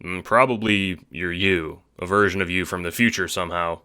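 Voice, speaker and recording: A man playing a laid-back, slangy teenage boy in an audio drama, talking in a terse, deadpan, casual way. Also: deep voice, normal speed, smooth, no room sound, clean studio sound. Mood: cool, matter-of-fact.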